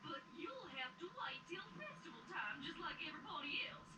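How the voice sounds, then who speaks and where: A woman's voice: very high voice, very animated, normal speed. A woman playing a feisty, suspicious female pony in an audio drama, accusing and sarcastic, through distant, echoey, noisy playback. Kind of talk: fan audio drama acting.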